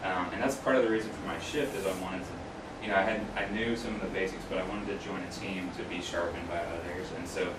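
A man in his thirties giving an informal talk as a guest speaker, answering questions in a halting way with self-corrections. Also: deep voice, fairly even intonation. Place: small classroom, indoors. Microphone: distant camera microphone. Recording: roomy, distant mic, clear background noise.

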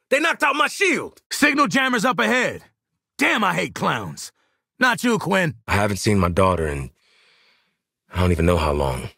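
An American actor playing a gruff, sardonic, weary hitman in a video game, blunt and streetwise, with a husky voice. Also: on the low side, pushed and bright, dry recording, studio quality, heavily acted. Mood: dry, weary.

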